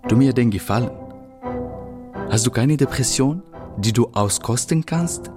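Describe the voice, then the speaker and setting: A man's voice: medium pitch, expressive, unhurried, close to an everyday voice. An actor playing a depressed, wry man in a radio drama, grumbling with dry mock formality as he confides in someone. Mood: gloomy, sardonic. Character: depressed, wry Arabic-speaking man confiding grumpily in a dog. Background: music.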